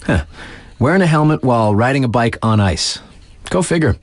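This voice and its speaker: A man's voice: mid-range voice, animated, normal speed, dry recording, natural voice. A man playing a laid-back, sardonic young radio DJ in a video game, reading local news in a wry, slangy, conversational way. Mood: breezy, wry.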